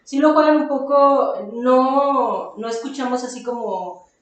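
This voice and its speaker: Woman, Mexican accent, fairly high voice, informal podcast chat, very reverberant room. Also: unhurried. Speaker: podcast co-host. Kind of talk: casual chat, reviewing an audio drama. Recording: very echoey room, distant from mic.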